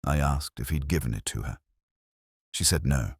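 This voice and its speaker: Very deep-voiced man narrating a mystery. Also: breathy edge, no room sound, clean studio sound. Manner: measured, reflective, literary delivery. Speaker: narrator of a detective story. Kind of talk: scripted first-person mystery narration.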